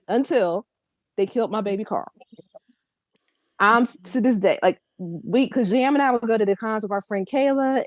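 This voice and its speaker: A woman from Philadelphia chatting as a guest host on a fan podcast, telling stories in a lively, laughing way. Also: medium pitch, no room sound. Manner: lively, laughing, animated storytelling. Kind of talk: casual podcast chat.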